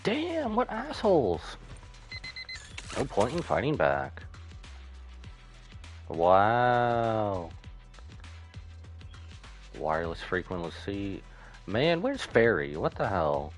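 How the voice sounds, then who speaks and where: A man's voice: fairly low pitch, wildly swooping pitch, very slow, pauses often, faint music behind. A man giving casual, blunt and sweary reaction commentary as a game streamer.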